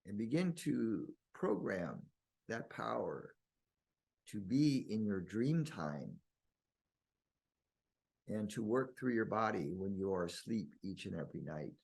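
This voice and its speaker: A man leading a guided meditation in slow, deliberate phrases with long pauses and a husky, gravelly voice. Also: fairly low pitch, sing-song, a little mellow.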